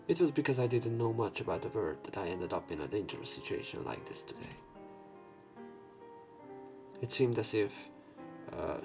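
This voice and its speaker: Low, creaky-voiced man narrating. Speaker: let's play narrator and commentator. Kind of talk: reading visual novel text aloud with casual asides. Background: visual novel game music and sound effects.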